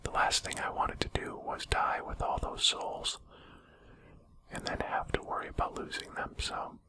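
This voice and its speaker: Someone whispering soft, unhurried gameplay commentary in a breathy, conversational ASMR style. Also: poor audio. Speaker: video game streamer and commentator.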